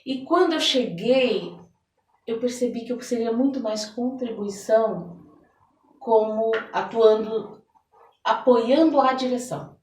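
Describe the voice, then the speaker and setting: A woman's voice: on the high side, a little slow. A Brazilian woman answering in an interview as a guest, telling her experiences expressively and often checking for agreement. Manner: expressive storytelling, often seeking agreement with tags. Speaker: interview guest, therapist and theater director. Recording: echoey room, distant microphone.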